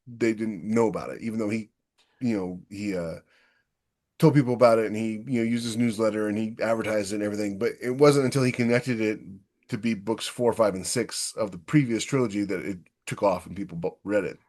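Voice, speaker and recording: A man's voice: fairly low pitch, fairly quick, a little mellow. A man hosting a podcast in casual interview chat, probing and informal, with blunt interjections. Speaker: podcast host, author.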